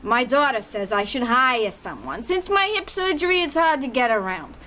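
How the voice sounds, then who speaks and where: A woman's voice: on the high side, big pitch swings, normal speed, very noisy. A woman playing a sweet, nosy older neighbor in an audio drama, polite, fussy and chatty.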